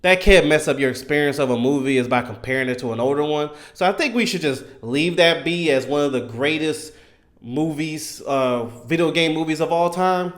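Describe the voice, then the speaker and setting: A man in his thirties giving casual, enthusiastic fan commentary in an animated, emphatic, slangy way. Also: a little high, moderate pace. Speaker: gaming content creator.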